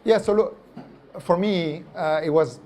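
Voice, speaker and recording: A man from France with a French accent, a company founder answering interview questions in an animated way, often tagging "right?" and "like". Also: fairly high pitch, a little slow, slight background noise.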